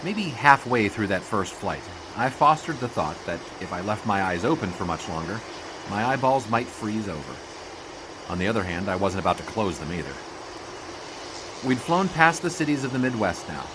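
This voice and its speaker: Man playing a wry American newspaperman, lowish, flat. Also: normal speed, noisy background. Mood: wry narrating. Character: wry, doubtful 1920s American sports writer. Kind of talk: audio drama dialogue and first-person narration.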